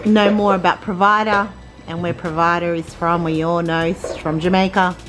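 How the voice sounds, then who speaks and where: A woman's voice: fairly low pitch, little pitch movement, moderate pace, music in the background. A woman hosting an interview, asking short, friendly questions. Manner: friendly questioning, brief prompts. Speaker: interview host. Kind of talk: casual interview.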